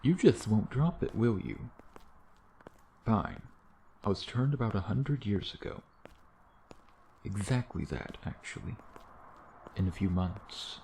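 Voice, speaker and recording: A man playing a sardonic, teasing vampire in an intimate ASMR roleplay, with a low, gravelly voice and an unhurried, predatory manner. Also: very animated, dark tone, slight background noise, exaggerated voice. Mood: grudging, boastful. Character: teasing, sardonic male vampire, a century old, youthful predator.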